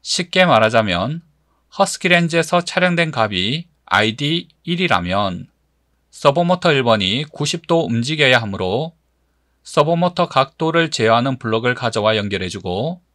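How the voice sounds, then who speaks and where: A man giving scripted tutorial narration as an instructor, explaining step by step in a measured manner. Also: mid-range voice, very animated, fairly bright tone, dry recording, studio quality.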